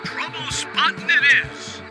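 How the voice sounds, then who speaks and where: A man's voice: extremely high pitch, steady tone, a little slow. A man playing a goofy, cheerful, bumbling pilot sidekick in a cartoon game. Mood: cheerful, joking. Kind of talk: animated cartoon game acting. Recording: music behind the voice.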